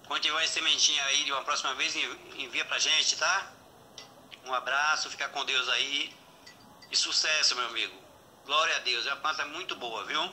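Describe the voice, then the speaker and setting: A man's voice: mid-range voice, steady tone, fairly quick, pushed and bright, a little hiss or hum, rough recording. A man leaving a casual voice message as a satisfied customer. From Bahia, Brazil. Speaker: customer giving a testimonial. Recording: voice note replayed through phone speaker.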